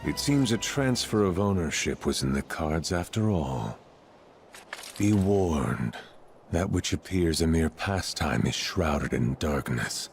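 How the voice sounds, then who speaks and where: Brooding game character, super low voice, formal n cryptic, bit of background hiss. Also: male voice, animated, vocal fry, exaggerated voice. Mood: grave, cryptic. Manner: formal, ominous, measured. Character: brooding, cryptic male keeper of a powerful card. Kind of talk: video game cutscene acting.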